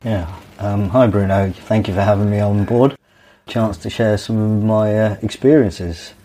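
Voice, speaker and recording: Halting man in his thirties. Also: fairly low pitch, fairly even intonation, mellow, slight background noise. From Dubai. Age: 30s. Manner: halting, with many restarts and fillers. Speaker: podcast guest. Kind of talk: telling his life story in an interview.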